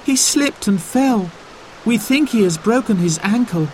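A man's voice: sits high, lively intonation, a little slow, slight background noise, voice pushed up, low-quality recording. A man voicing a frightened young girl in a storybook reading. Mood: urgent. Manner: anxious, pleading.

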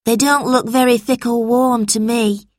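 A woman's voice: a little high, fairly even intonation, moderate pace, a little mellow, no echo, clean studio sound, pitched above the performer's own voice, lightly acted. A woman voicing a small, timid young boy who whines and fusses, in a storybook reading. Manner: whining, fussing.

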